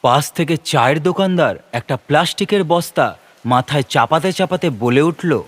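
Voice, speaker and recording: A man narrating a horror story in a suspenseful, expressive, dramatic manner. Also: on the high side, normal speed. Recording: rain and noise behind narration. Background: rain.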